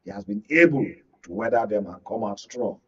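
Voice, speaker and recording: Husky Nigerian man giving political analysis. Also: medium pitch, sing-song, moderate pace, a bit bright, highs cut off. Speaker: media analyst, guest pundit. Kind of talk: live political analysis discussion.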